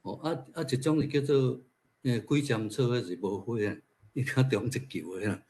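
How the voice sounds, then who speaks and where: Taiwanese man, conversational, mid-pitched voice. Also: moderate pace, a little mellow. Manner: conversational, asks rhetorical questions. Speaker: speaker at a literature forum. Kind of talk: informal talk sharing personal observations.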